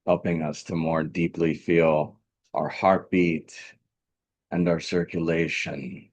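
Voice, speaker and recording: A man leading a live guided meditation as a teacher, speaking gently and deliberately, repeating phrases and leaving long pauses. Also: low voice, flat delivery. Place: live online video meetup.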